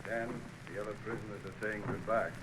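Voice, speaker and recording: An actor playing a stern, sombre prison warden in an old radio drama. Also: male voice, lowish voice, steady tone, brisk, close to an everyday voice. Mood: sombre, commanding. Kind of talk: 1940s radio drama acting. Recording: very noisy old radio recording.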